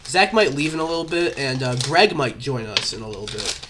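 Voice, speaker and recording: A man's voice: medium pitch, expressive, brisk, crowd noise. A young man hosting a casual podcast chat, rambling with lots of fillers and nursing a cough. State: has a cough. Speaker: podcast host.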